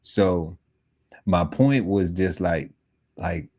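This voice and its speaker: A man's voice: low-pitched, animated, extremely slow, dark tone. A man in his forties chatting casually on a podcast panel, talking in a loose, laid-back way with many fillers. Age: 40s. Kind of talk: casual chat. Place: home podcast setup.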